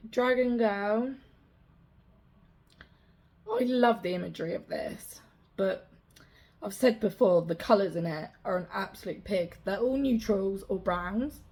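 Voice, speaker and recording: A young woman talks in a relaxed, rambling vlog manner. Her voice carries a slight rasp. She sounds self-deprecating and easygoing. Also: medium pitch. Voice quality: slightly raspy. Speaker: cross-stitch vlogger. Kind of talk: casual show-and-tell chat.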